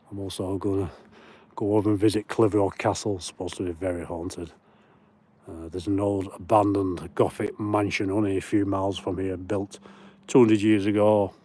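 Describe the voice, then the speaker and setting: A chatty man with a broad Lancashire accent talks into his phone while walking the moors at night. His voice sits low and mellow. He reads out viewer comments and greets people by name as he goes. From Lancashire, England. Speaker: paranormal livestream host. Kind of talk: casual livestream chat while walking. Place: outdoors on moorland at night.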